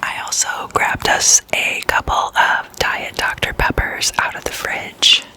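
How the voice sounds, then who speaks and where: An ASMR host speaks in a hushed whisper with a very bright, sibilant edge. The delivery is unhurried and intimate, like casual chat with a friend at a slumber party. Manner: intimate, addressing the listener as a guest.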